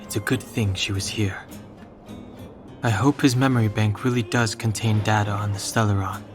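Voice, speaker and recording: A man playing a serious, steady young male warrior in a video game cutscene. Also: lowish voice, moderate pace, music in the background, natural voice. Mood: thoughtful.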